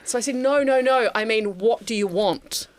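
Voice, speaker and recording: A woman's voice: a little high, moderate pace. A woman, a guest telling her story in casual chat, quoting herself and laughing often. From New Zealand. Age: 50s.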